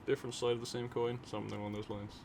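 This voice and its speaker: A man from Europe talking in an unscripted, musing, unhurried ASMR ramble to his listeners. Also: medium pitch, flat delivery. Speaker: audio roleplay creator talking to listeners. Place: by an open window at a desk. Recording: clear city noise with distant traffic. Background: city ambience, vehicles, sirens.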